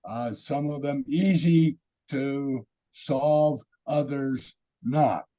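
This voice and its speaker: A hoarse man with a raspy voice giving a webinar presentation over a video call, halting with many fillers. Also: medium pitch, mellow. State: hoarse from illness.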